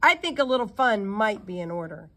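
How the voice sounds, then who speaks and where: A woman's voice: mid-range voice, sing-song, a little fast, bright, forceful tone. Woman voicing a kindly, encouraging woman mentor. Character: kindly, easygoing adult woman mentor to young spies.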